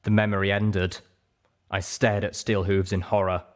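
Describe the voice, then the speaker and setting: A man reading a scripted story as an expressive audiobook narrator. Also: sits low, normal speed. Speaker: audiobook reader and radio-style host.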